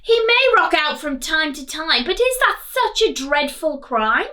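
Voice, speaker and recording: A woman voicing an indignant storybook knight who scolds loudly and declaims in rhyme. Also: high-pitched, big pitch swings, unhurried, a little hiss or hum, voice pushed up, exaggerated voice. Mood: pleading, firm. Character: furious, fiercely gentle knight scolding a crowd.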